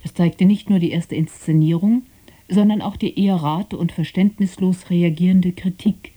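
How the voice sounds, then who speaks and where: Dark, husky woman radio presenter. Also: lowish voice, steady tone. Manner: measured, formal. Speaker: radio presenter introducing a play. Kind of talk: scripted narration.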